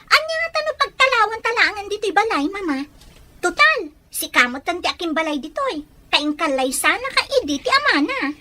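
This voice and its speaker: Casting: actress as young village daughter, extremely high-pitched, bright, sharp voice for family audio drama. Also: female voice, sing-song, brisk, a little hiss or hum, heavily acted. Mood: dutiful, plaintive. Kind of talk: Ilocano audio drama acting.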